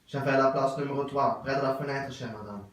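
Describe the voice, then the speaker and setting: A man playing a stiff, courteous middle-aged English gentleman in an absurd stage play, with formal, ceremonious and repetitive speech. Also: mid-range voice, fairly even intonation, fairly quick, close to an everyday voice. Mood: ceremoniously curious. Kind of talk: theatre acting of an absurd play. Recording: very echoey room, voice from far off.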